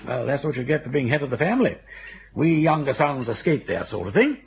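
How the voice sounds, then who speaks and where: An actor playing a witty, teasing older English aristocrat in a British radio comedy, chatty and urbane with a wry theatrical manner. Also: male voice, mid-range voice, big pitch swings, fairly quick, gravelly, a little mellow, breathy edge, heavily acted.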